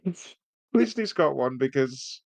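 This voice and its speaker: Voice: medium pitch, animated, unhurried, creaky, no echo. Jokey podcast host just chatting about reviews, playful, really dry sound. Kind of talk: casual chat review.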